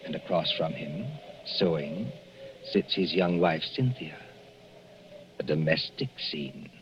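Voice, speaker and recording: A man narrating a suspense drama as a 1940s radio announcer, deliberate and ominous. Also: rumbling low, animated, slight background noise. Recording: muffled 1940s radio recording with music.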